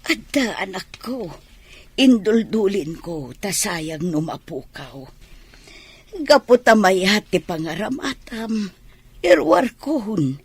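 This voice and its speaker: A woman playing a gentle, supportive middle-aged village mother in a radio drama, with a husky voice. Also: medium pitch, big pitch swings, takes it slowly, full character voice. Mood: tender, supportive. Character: gentle, supportive village mother who saves for her child.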